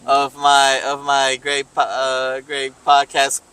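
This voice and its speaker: A man whose first language is not English hosts a vlog at a convention, his voice bright and forward. He talks loosely and jokes a lot, laughing between lines and letting sentences trail off. His pitch sits in an ordinary middle range. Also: completely flat delivery, slow pace, clean tone. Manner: joking, laughs often, trails off mid-sentence. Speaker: vlog host. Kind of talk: casual chat. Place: convention center.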